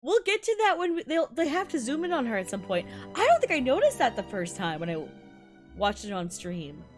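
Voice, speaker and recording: A young adult woman whose first languages are Malayalam and Tamil, a violinist giving a bubbly, excitable casual breakdown of music and laughing often. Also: high voice, fairly quick. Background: faint music playing.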